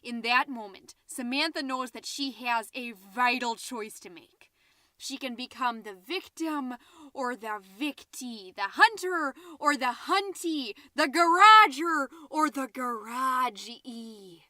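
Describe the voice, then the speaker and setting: A woman's voice: high voice, lively intonation, takes it slowly, sharp tone, no room sound, clean studio sound, full character voice. A woman playing a chatty, scatterbrained, overexcited middle-aged suburban mom who hosts a comedy podcast, rambling, interrupting herself and stressing words theatrically. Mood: dramatic storytelling. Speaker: podcast host. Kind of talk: comedic character monologue as a homemade podcast.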